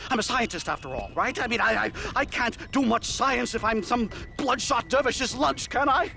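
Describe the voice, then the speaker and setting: An actor playing a nervous, pompous, cowardly professor in a video game, stammering and wordy. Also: male voice, very high-pitched, lively intonation, moderate pace, gravelly, bright, forceful tone, slight background noise, exaggerated voice. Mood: flustered, pompous. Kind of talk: video game cutscene acting.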